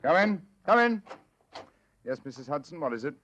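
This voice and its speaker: Aloof brilliant English detective, very animated intonation, crisp, dryly ironic, dull vintage radio sound. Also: male voice, on the high side, normal speed, a little hiss or hum. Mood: brisk. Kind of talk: 1940s radio drama acting.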